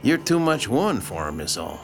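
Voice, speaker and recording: Folksy, animated small-town guy. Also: mid-range voice, normal speed, soft background music. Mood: wry. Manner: folksy, wry. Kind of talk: audio drama acting.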